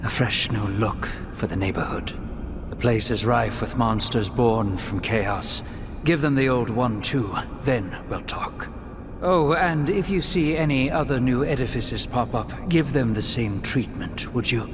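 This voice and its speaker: A man's voice: medium pitch, a little slow, background noise, natural voice. An actor playing a sly, mocking male schemer in a video game cutscene, arch and airily polite. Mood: smooth, scheming. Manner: airy, arch, formally polite.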